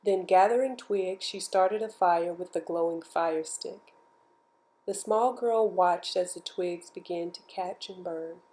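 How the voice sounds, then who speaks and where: Slow-paced woman reading a bedtime story. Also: on the low side. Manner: slow, measured, expressive storytelling. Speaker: storybook reader. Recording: music behind the voice. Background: music.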